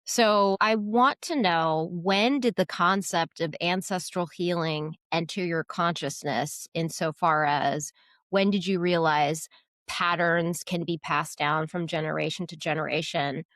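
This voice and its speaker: A woman's voice: lowish voice, a little slow, fairly bright tone, dry recording, studio quality. A woman hosting a podcast interview, speaking in an eager, grateful way. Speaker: podcast host.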